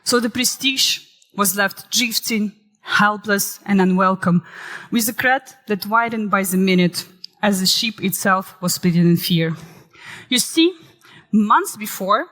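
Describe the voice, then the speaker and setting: A woman, recovering from illness and saving her voice, giving a lively conference talk that shifts into dramatic storytelling. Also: mid-range voice, unhurried. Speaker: conference speaker. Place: conference hall. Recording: reverberant hall.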